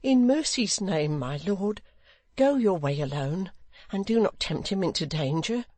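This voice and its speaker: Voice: mid-range voice, animated, unhurried, darkish tone, no room sound, pitched above the performer's own voice. A reader voicing a poor, anxious widow, an earnest and protective mother who pleads. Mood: pleading, fearful. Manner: earnest, imploring supplication.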